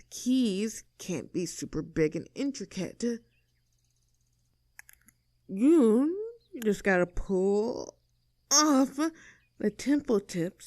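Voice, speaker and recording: A woman's voice: mid-range voice, darkish tone, no room sound. Woman acting sassy mean girl, close dry sound. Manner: snarky, bossy, teasing, self-assured. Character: sassy rebellious mean girl, cocky young troublemaker. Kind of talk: acted audio roleplay addressed to the listener.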